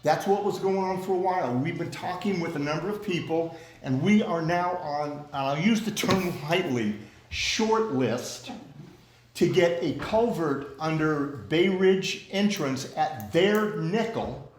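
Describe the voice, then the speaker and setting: Loud-voiced man chairing a meeting. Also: on the high side, animated, measured pace, echoey room, low-quality recording. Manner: loud, plain-spoken. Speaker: club president chairing a meeting. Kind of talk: community meeting discussion.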